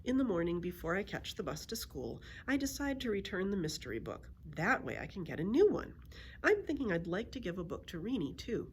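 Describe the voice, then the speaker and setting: Lady reading a kids book out loud, really animated pitch, bit of noise in the background. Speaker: library story reader. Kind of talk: expressive children's book read-aloud.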